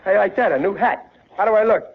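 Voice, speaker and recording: A man's voice: high voice, fast, noisy background. An actor playing a good-natured, blustering middle-aged working man with folksy, ungrammatical speech in a dull vintage 1940s radio sitcom recording. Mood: pleased.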